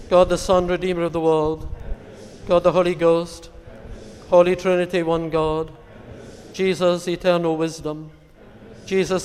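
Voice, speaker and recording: A man with a fairly high-pitched voice speaks as a priest in a large, reverberant space. His delivery is solemn and reverent, in measured devotional phrases. Long reverb trails behind his words. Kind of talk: solemn sermon and recited litany prayers.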